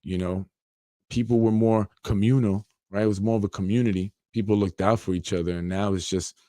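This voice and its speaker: Man, forties, from yonkers, podcast host, earnest, fairly low voice, dry close sound. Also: fairly even intonation, fairly quick, warm tone, no room sound. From Yonkers, New York. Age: 40s. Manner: earnest, plainspoken, repeats phrases with many "you know"s. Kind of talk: solo podcast monologue.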